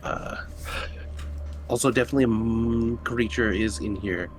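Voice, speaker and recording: A man's voice: medium pitch, moderate pace. A man playing a tabletop roleplaying game, chatting casually as he speaks for his character. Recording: noticeable background noise.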